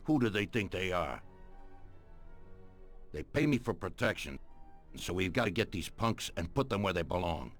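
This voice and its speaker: A man playing a commanding middle-aged mob boss in a video game, measured, authoritative and menacing. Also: deep voice, sing-song, faint music behind, exaggerated voice. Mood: indignant. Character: commanding middle-aged male mafia don, coldly menacing.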